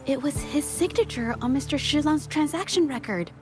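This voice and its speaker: A woman playing a thoughtful, composed investigator in a video game, reasoning in a deliberate, analytical way. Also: sits high, natural voice. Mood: realizing. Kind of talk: video game character dialogue. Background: music.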